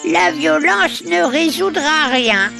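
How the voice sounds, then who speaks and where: A wise, weary old rat from a children's tale, voiced by a woman. The speech is drawn out and kindly, with lively rises and falls. Music plays underneath. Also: high voice, slow, vocal fry, full character voice. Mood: kindly advising. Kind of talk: children's audio story acting.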